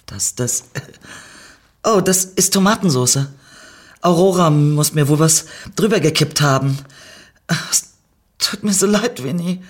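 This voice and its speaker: A man voicing a nervous young wife who hides an affair, his voice husky, raised in pitch and pleading. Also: lively intonation, normal speed, few pauses, exaggerated voice. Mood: flustered. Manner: pleading, high and breathless when frightened.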